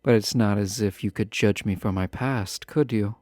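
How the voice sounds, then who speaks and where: A man playing a refined, guarded yet fond fantasy ruler in a romantic audio roleplay, speaking in formal, measured phrases with teasing asides. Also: fairly low pitch, a little mellow, no echo, clean studio sound, natural voice. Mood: earnest, guarded.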